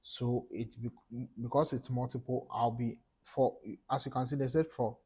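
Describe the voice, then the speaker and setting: A young man from Ghana with a Ghanaian English accent, talking viewers through a screen-recorded tutorial step by step, with fillers and pauses. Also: medium pitch, steady tone, darkish tone, breathy edge. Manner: casual explaining, talks through each step, halting with fillers. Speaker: tech YouTuber. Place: small room indoors.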